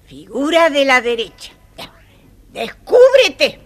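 A woman's voice: high-pitched, very animated, takes it slowly, a little hiss or hum, exaggerated voice. A woman voicing a slyly menacing old witch with a theatrical, mocking delivery in a children's audio storybook. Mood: commanding. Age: older.

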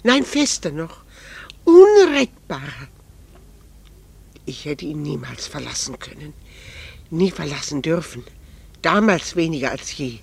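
A woman's voice: deep voice, big pitch swings, a little slow, vocal fry, long pauses, a little mellow, breathy edge, full character voice. An earnest, proud married woman. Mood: anguished, earnest. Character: proud, candid, melancholy married woman confiding in an old admirer. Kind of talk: German radio drama acting.

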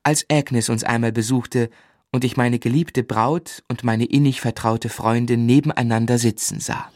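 An actor in a German radio play playing an earnest, dreamy young man who narrates his life in a measured, tender, reflective way. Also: medium pitch, fairly even intonation, darkish tone, no echo, clean studio sound, natural voice. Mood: fond, reflective. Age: young adult.

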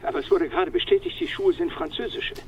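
An actor playing a squeamish, grumbling police commandant over a phone filter in a radio crime drama. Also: male voice, extremely high pitch, expressive, quick pace, gravelly, full character voice. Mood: uneasy, grumbling. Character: squeamish, weary male police commandant phoning in. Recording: dull, noisy phone line.

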